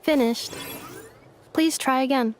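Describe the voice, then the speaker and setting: High-voiced deadpan robot girl. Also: slow pace, slight background noise. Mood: matter-of-fact. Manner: clipped, formal, robotic, deadpan. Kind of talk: video game voice acting.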